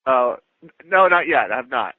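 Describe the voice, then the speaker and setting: American guy on a casual work call, really up-and-down pitch, dry tinny phone sound. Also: sing-song, moderate pace, no room sound. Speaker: FBI agent.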